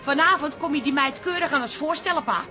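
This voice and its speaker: An actress playing a bossy, suspicious and jealous grown daughter in a radio play. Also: female voice, high-pitched, fast, bright, forceful tone, faint music behind.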